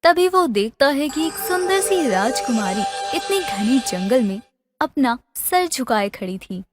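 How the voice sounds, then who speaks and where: धीमी गति से कहानी सुनाती महिला. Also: female voice, high-pitched, sing-song, unhurried, over music. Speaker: narrator. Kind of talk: scripted fairy tale narration.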